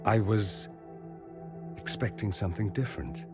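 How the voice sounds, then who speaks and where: A man's voice: on the low side, expressive, measured pace, music in the background. An actor playing a witty, flirtatious mage nobleman in a video game, with an arch, teasing, theatrical delivery. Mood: wistful. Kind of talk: video game cutscene acting.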